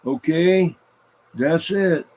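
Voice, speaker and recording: A man's voice: a little high, extremely slow, darkish tone. A man hosting a game over the radio with informal, repetitive live chatter, announcing as he goes. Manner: informal, repeats himself.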